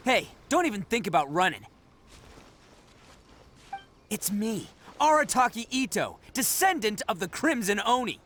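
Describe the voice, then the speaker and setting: An actor playing a brash, boastful young oni gang boss in a video game, slangy and swaggering. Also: male voice, high-pitched, big pitch swings, a little slow, long pauses, fairly bright tone, slight background noise, full character voice. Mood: cocky, fired up.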